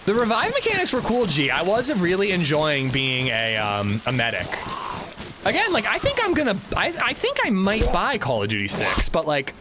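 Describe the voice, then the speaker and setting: A man's voice: sits high, wildly swooping pitch, moderate pace, background noise. A man streaming a video game, chatting casually with his chat in a rambling, chatty way and swearing freely. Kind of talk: casual livestream chat while gaming.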